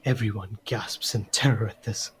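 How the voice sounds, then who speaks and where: A man's voice: lowish voice, steady tone, normal speed, a bit bright, a little hiss or hum. A man reading scripted story narration for an audio drama.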